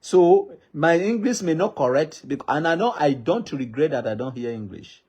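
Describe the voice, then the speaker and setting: A man's voice: a little high, expressive, moderate pace, a little mellow, husky. A Nigerian man with a Yoruba accent, a native Yoruba speaker, makes an impassioned public appeal in a pleading, repetitive cadence like a preacher. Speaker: traditional ruler addressing the public.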